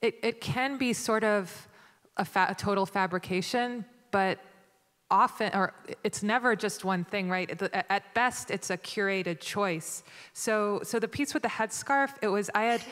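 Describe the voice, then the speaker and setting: Woman, mid pitch, thoughtful panel talk, handheld mic, echoing auditorium. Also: a little slow, a bit bright. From United States. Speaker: panelist, writer. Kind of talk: panel discussion. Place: large auditorium stage. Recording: long hall reverb.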